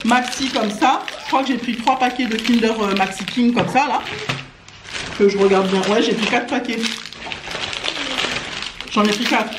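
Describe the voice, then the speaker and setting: A woman's voice: fairly high pitch, moderate pace, pauses often. A woman chatting casually and informally, with slangy phrasing, as a family vlogger at home. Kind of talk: casual haul vlog chat. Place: indoor living room at home. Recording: echoey room, clear noise, clicks.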